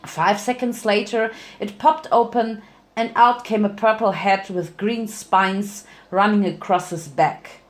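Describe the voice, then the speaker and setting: A woman's voice: mid-range voice, fairly even intonation, measured pace. A woman narrating fan fiction as a dramatic reading, occasionally stumbling and correcting herself.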